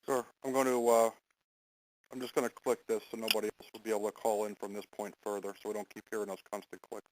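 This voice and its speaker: A man hosting and moderating a conference call in a semi-formal, halting way, stumbling over his wording now and then on a phone line. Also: fairly low pitch, level tone, background noise.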